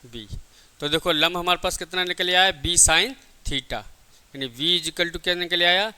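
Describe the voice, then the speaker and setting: A man's voice: high-pitched, a little slow, sharp tone, smooth. A man teaching a lesson step by step, didactic, often asking questions and answering them himself. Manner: didactic, repeatedly asks and answers own questions. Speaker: physics teacher. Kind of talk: classroom lesson, step-by-step explanation.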